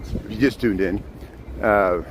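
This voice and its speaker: Loud chatty man on a noisy street. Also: on the low side, takes it slowly. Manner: chatty, pointing out sights as he walks. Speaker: live streamer; urban planner. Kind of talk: walking tour live stream. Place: outdoors on city streets. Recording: noisy city street with traffic.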